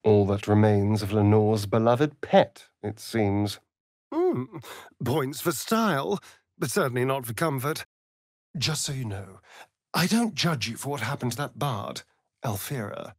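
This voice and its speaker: An actor voicing a vain, sardonic vampire elf rogue in a video game, with an arch, drawling, theatrical delivery. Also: male voice, medium pitch, lively intonation, unhurried, darkish tone, no echo, studio quality. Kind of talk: video game character voice lines.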